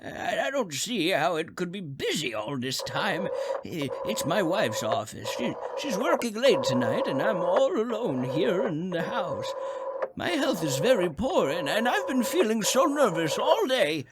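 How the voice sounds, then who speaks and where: A man's voice: sits high, sing-song, a little slow, heavy background noise. A man playing a crotchety, nervous old man in a radio drama, stammering and agitated as he talks. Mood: fretful. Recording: eerie music behind the voice.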